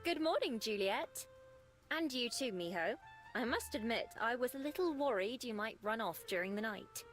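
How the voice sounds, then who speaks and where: A woman's voice: fairly high pitch, animated, normal speed, close to an everyday voice. A woman voicing a friendly, bookish young woman in a visual novel, chipper and chatty. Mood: friendly, relieved. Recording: music faintly behind the voice.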